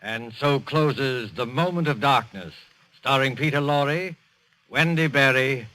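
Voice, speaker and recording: A man giving formal, measured, ominous scripted narration as the announcer of a 1940s radio show. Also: mid-range voice, rough recording. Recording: old radio broadcast with music.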